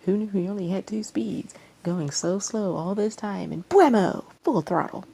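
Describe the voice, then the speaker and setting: A woman's voice: medium pitch, animated, moderate pace, vocal fry, mellow, slightly rough. A woman voicing an amused, laid-back male actor with a casual, teasing manner in a story reading.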